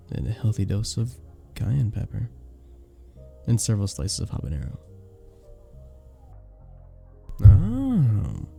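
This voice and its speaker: A man playing a charming, flirtatious vampire bartender in an ASMR roleplay, speaking to the listener with teasing, unhurried pauses. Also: fairly low pitch, creaky, dark tone. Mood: suave, playful.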